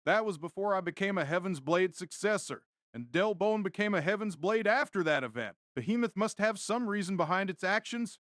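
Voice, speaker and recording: A man's voice: sits high, lively intonation, fairly quick, few pauses, a bit bright, no echo, clean studio sound. A man acting a stern, terse male warrior in an audio drama, speaking curtly and matter-of-factly. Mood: grave, matter-of-fact. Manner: curt, unvarying, matter-of-fact tone.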